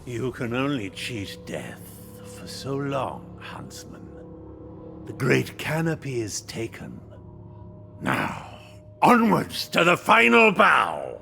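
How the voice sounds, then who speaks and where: A man's voice: mid-range voice, wildly swooping pitch, takes it slowly, vocal fry, bright, forceful tone, soft background music, heavily acted. A man voicing a vengeful undead knight spirit in a game, arrogant, menacing and grandiose in delivery. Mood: triumphant fury. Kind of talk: video game cutscene acting.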